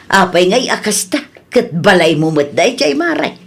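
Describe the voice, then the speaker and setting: A woman's voice: medium pitch, big pitch swings, normal speed, creaky, husky, full character voice. A woman playing a sympathetic, outspoken older neighbour in a radio drama, concerned and gossipy, with an Ilocano accent.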